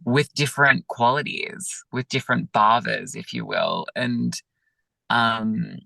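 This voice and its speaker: A man, a yoga teacher, talking gently and reflectively over a video call, with many pauses and fillers. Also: medium pitch, creaky, no echo. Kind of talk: reflective interview talk.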